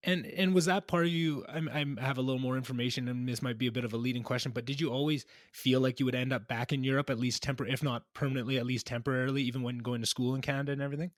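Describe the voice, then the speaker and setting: A young man hosts a casual podcast, talking quickly and winding through long questions with restarts. He speaks at an ordinary mid pitch. The sound is dry and close to the mic. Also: fairly even intonation, no echo. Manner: chatty, rambling questions with restarts. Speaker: podcast host. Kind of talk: casual interview conversation.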